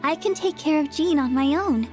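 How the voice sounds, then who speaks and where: A woman's voice: high voice, moderate pace, natural voice. A woman voicing an earnest, devoted young woman in a video game, eager, defensive and insistent. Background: faint music.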